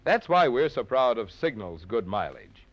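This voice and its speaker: A man's voice: mid-range voice, sing-song, moderate pace. A male announcer reading a 1940s radio advertisement in a polished, emphatic, persuasive way. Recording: muffled old radio recording.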